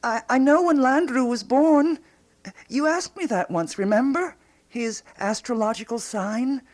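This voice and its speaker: A woman playing an eccentric, earnest amateur investigator in a radio drama, with a deliberate, unflappable manner. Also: sits high, a little mellow. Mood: pleading. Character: Gertrude, eccentric, matter-of-fact, obsessive woman amateur investigator.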